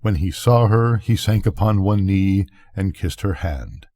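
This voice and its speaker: An older man narrating a bedtime story, reading gently and expressively. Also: sits low, a little slow.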